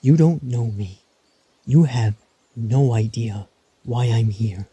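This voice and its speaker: A man's voice: mid-range voice, slow, dark tone, slightly rough, dry recording. A man playing a distrustful, emotionally broken young man in a fan audio drama, sarcastic and defensive. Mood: suspicious, defensive.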